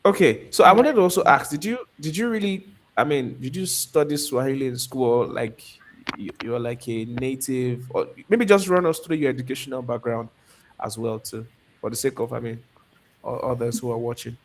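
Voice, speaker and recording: A man's voice: medium pitch, normal speed. A man hosting a live stream and interviewing a guest, who often repeats words and restarts his sentences. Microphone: video call.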